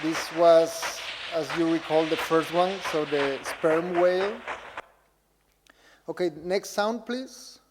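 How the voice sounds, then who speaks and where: Male lecturer, higher-pitched, informal and audience-facing, heard in a reverberant, noisy hall. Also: slow pace. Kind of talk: public lecture with audience participation. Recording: reverberant hall with loud background noise.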